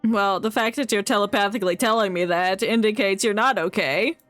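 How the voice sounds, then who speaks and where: A woman's voice: medium pitch, brisk, a bit bright. A woman streamer giving casual live commentary on a game, joking and heckling sarcastically. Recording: game music behind the voice.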